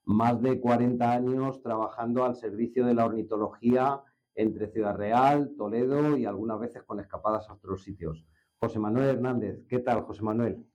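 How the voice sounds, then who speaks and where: A man's voice: medium pitch, fairly even intonation, normal speed, darkish tone. A man with an accent from Spain, hosting a conversational interview in an informal, familiar way. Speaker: interview host. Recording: slightly dull, lo-fi sound.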